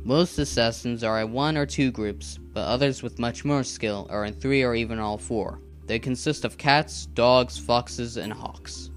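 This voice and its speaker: A man playing a patient, earnest animal assassin mentor in an animated drama, explaining things in a measured, instructive way. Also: mid-range voice, lightly acted. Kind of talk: scripted animated drama dialogue. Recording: background music under the voice.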